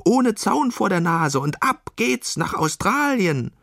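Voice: medium pitch, very animated, takes it slowly, vocal fry, dry recording, studio quality, voice pushed up, full character voice. A storyteller voicing a plucky, impatient young female sheep who wants to be a pirate, bossy and blustering. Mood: urging. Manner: bossy, blustering, calls out loudly.

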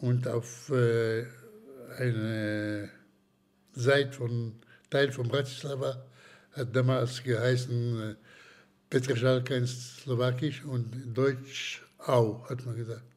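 An older man from Slovakia, giving slow, hesitant testimony in an interview with many pauses and restarts. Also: medium pitch, steady tone, a little mellow. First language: German as childhood language, long unused.

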